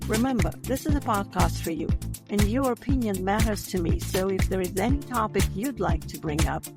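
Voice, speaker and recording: A woman in her fifties from Latvia with a Latvian accent, hosting a podcast in a measured, carefully read monologue. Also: medium pitch. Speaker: podcast host. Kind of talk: scripted solo podcast monologue.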